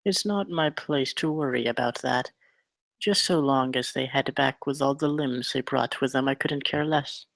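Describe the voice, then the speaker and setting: An actor plays a strange den dealer who is wry and detached. The voice is of average pitch with very little room around it, read as screenplay drama. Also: male voice, moderate pace, gravelly, fairly bright tone, dry recording. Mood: indifferent. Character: detached, wry male drug-den dealer with many hands.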